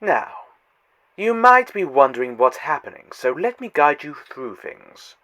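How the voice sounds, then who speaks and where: A man's voice: on the high side, big pitch swings, measured pace, full character voice. A man voicing a taunting, godlike male trickster in a fan audio drama, theatrical and mocking. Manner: theatrical, taunting, swinging loud to hushed.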